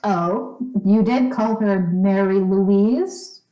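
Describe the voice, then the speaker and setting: Radio drama actress: young female friend, gently teasing, slow delivery, echoey room. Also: medium pitch, soft background music. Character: teasing, loyal young girl, gentle peacemaker. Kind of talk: old-time radio drama acting.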